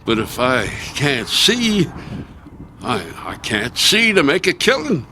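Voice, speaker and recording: A man's voice: fairly high pitch, big pitch swings, takes it slowly, bright, forceful tone, a little hiss or hum, exaggerated voice. An actor playing a proud, grumbling provider who is losing his sight, in an emphatic radio drama performance. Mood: frustrated.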